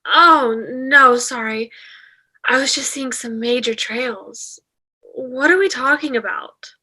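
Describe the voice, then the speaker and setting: A woman's voice: high voice, slow, pushed and bright, exaggerated voice, poor audio. A woman playing a spacey, dreamy hippie ghost in a sitcom table read. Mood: dazed.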